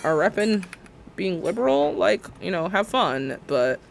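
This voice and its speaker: Brief: male streamer in his 20s, pitched a touch high, chatty and sarcastic, venting while he plays. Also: unhurried, creaky, slight background noise. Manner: ranting, animated, sarcastic, mimics others' words. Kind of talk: casual livestream chat while building in a game.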